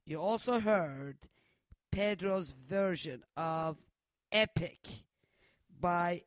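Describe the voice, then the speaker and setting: A man hosting a metal radio show, chatting casually, cursing freely and pausing on many uhs. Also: sits high, no room sound. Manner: profane, loose, halting with many uhs. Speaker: radio host.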